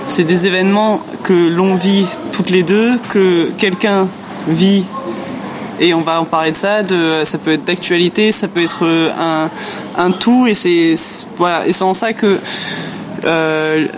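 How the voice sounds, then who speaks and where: A woman whose first language is English, answering interview questions in French as a musician, pausing often to search for words. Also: lowish voice, fairly even intonation, noisy background.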